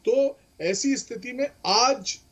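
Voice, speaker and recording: A man's voice: very high voice, slow pace, husky. A man, explaining legal points emphatically as a panelist and mixing in English terms. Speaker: legal expert panelist on a debate show.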